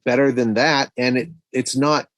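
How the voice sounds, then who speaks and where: A man's voice: medium pitch, steady tone, normal speed, no echo. A man co-hosting a podcast, chatting casually and giving his opinions on films. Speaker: podcast co-host. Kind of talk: casual film discussion.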